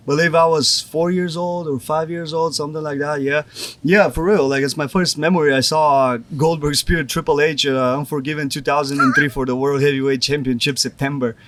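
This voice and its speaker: Bulgarian guy in his 20s, kinda high voice, says like a lot. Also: fairly even intonation, fairly quick, fairly bright tone, slight background noise. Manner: rambling, says "like" often. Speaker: pro wrestler guest. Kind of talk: casual interview.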